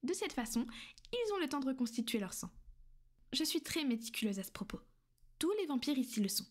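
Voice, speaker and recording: Woman as a calm, possessive vampire lady with a high, soft voice that slips into whispers; ASMR roleplay. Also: fairly quick. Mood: calm, matter-of-fact. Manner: soft, soothing, often whispered. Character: gentle, possessive female vampire noblewoman.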